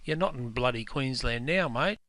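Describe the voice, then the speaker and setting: A man with an Australian accent playing a gruff, pedantic pub owner who scolds customers in broad slang, in a scripted comedy dialogue. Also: medium pitch, lively intonation, normal speed, a bit bright, slight background noise. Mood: scolding. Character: gruff, pedantic Aussie publican, quick to correct. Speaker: publican character.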